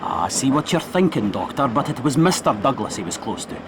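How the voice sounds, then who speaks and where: Local male informant, deferential, gossipy, animated intonation, audio drama, noisy outdoor background. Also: medium pitch, brisk. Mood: gossipy, careful.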